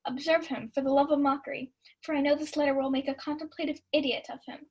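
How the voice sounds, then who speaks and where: High-voiced sly prankster played by a young woman. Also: level tone, fast talker, highs cut off. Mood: scheming. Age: young adult. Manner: conspiratorial, gleeful, theatrical. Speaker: student actor. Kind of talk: Shakespeare comedy as 1940s-style radio play.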